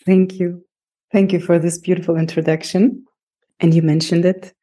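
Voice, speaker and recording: A woman's voice: fairly low pitch, level tone, moderate pace, warm tone. A woman hosting a podcast, presenting and putting questions to a guest. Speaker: podcast host. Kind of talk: scripted introduction and interview questions.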